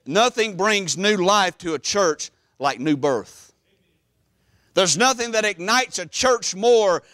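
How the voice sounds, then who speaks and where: A middle-aged man preaching an emphatic, animated church sermon, repeating phrases and pausing for weight. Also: high voice, no room sound, clean studio sound. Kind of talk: Baptist sermon. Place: church sanctuary, preaching from the platform.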